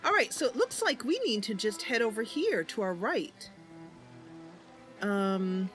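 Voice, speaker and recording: A woman's voice: medium pitch, very animated, measured pace, a bit bright, soft background music. A woman giving casual gameplay commentary and narration as the host of a roleplay series. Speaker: gamer hosting a roleplay series.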